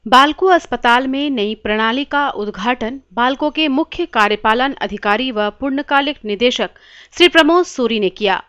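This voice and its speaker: A woman narrating a scripted news report in a formal, measured reading style. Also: a little high, a bit bright, clear, resonant tone, no echo, muffled recording.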